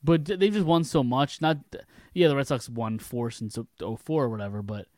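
A man's voice: medium pitch, fairly quick, darkish tone, no room sound, studio quality. A young American man in casual sports talk as a podcast co-host, blunt and loose in the way he speaks.

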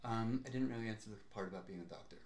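A young man talks in a measured, reflective way, pausing to weigh his words. His voice sits fairly low, and the recording carries a noticeable room echo. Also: darkish tone, a little hiss or hum. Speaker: podcast co-host. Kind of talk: casual chat. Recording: roomy echo.

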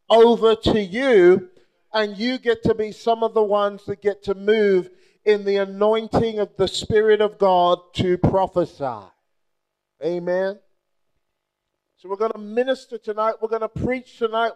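Man, young adult, from Nigeria, charismatic preacher, playful call-and-response, clear resonant tone. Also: sits high, a little mellow, rough recording. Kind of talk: charismatic sermon.

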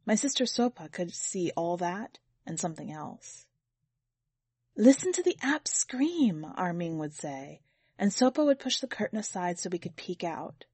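A woman's voice: lowish voice, lively intonation, moderate pace, darkish tone, breathy edge, no room sound. A woman narrating a first-person literary short story from a script. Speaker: podcast editor and story reader.

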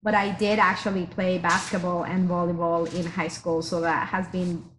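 A woman in her forties from Mexico, a teacher, talking through her background in a measured way with many fillers. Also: fairly low pitch, level tone, a bit bright. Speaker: teacher, interview guest. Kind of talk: casual interview. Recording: noisy background hiss.

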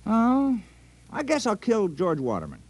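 Hesitant, mild villager, lively pitch. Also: male voice, a little slow, heavily acted. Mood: uncertain. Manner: hesitant, plain-spoken. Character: reluctant, mild-mannered village man appointed town criminal. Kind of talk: 1950s radio comedy acting.

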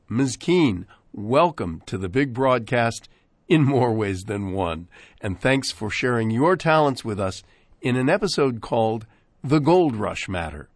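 A man's voice: mid-range voice, dry recording. An American man hosting a radio show with a scripted but conversational introduction and playful, theatrical emphasis.

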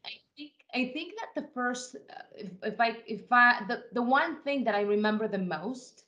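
A woman's voice: fairly high pitch, moderate pace, pushed and bright, lo-fi sound. A woman from Ecuador, a guest in an interview, answering in an earnest, reflective way with frequent restarts.

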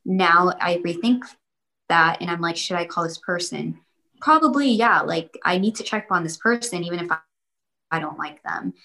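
A woman's voice: lowish voice, normal speed, sharp tone, rough recording. A young woman answering interview questions casually, rambling and repeating herself with many fillers.

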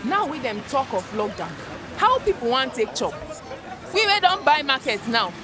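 An outspoken Nigerian market woman, fairly high and animated. She speaks worried Pidgin amid crowd sounds and music. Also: normal speed. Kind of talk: radio drama acting in Nigerian Pidgin.

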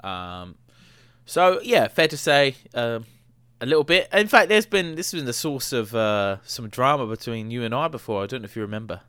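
A man's voice: mid-range voice, expressive, a bit bright, smooth, dry recording. Playful teasing man on a podcast. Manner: playful, teasing, quick to laugh. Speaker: podcast co-host. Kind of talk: casual chat.

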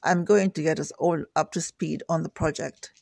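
A man voicing a smooth, scheming male lab colleague who talks in an easygoing, conspiratorial way. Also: on the high side, fairly even intonation, normal speed, no room sound, studio quality. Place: home kitchen table.

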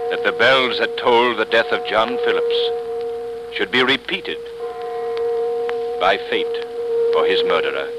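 A man playing a stern, righteous crime fighter who speaks deliberately and declaims in 1930s radio drama style. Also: on the low side, loud music behind the voice, close to an everyday voice. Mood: grave, moralizing. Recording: noisy vintage 1930s radio recording.

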